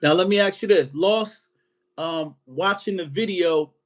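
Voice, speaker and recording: A man hosting a podcast, chatty and quick to laugh as he asks follow-up questions in casual talk. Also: high voice. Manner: chatty, laughs easily, keeps asking follow-ups. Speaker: podcast host. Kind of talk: casual interview.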